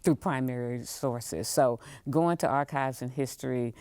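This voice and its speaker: A woman's voice: very deep voice, unhurried, a little mellow, smooth, dry recording. A woman talking as an interview guest, repeating words for emphasis. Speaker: museum leader, podcast guest. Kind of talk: conversational interview.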